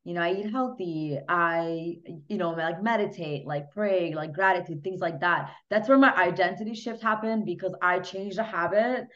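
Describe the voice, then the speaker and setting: A woman's voice: on the low side, normal speed, hardly any pauses, a bit bright, highs cut off. A woman from Hungary talking casually and earnestly as a guest in an interview, in an informal, discursive way. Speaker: podcast guest. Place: remote online podcast call.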